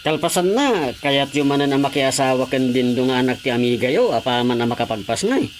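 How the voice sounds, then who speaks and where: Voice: mid-range voice, expressive, brisk, slight background noise, lightly acted. A performer acting a deferential, fretting maid in a radio drama.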